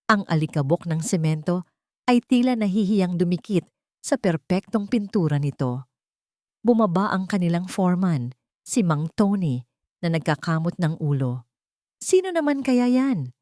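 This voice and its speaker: A woman narrating a romance drama story in a calm, measured way. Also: on the low side, dry recording, clean studio sound. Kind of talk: scripted narration.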